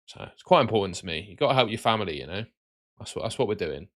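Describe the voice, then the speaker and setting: A man hosting a live stream with casual, matey chat that can turn excitable and sing-song. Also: fairly low pitch, quick pace. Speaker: livestream host.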